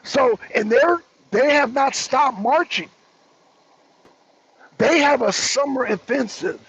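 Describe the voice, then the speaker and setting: A man's voice: very high voice, fairly bright tone, breathy edge. A man hosting a political talk show, giving blunt, emphatic commentary and repeating phrases for effect. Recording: dull lo-fi audio.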